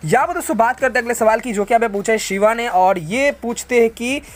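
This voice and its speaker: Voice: mid-range voice, a little fast. An Indian tech channel host casually reads out and answers viewer questions, explaining things conversationally and mixing English tech terms into the talk. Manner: conversational, explanatory, addresses listeners as bro and friends.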